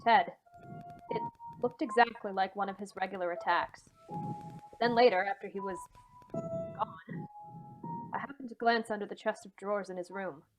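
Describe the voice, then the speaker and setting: A woman's voice: medium pitch, steady tone, normal speed, long pauses, over music, lightly acted, poor audio. A woman acting a nervous, hesitant man in an old-time radio mystery, stammering as the character confides. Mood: troubled, confiding. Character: anxious man confiding a suspected family murder.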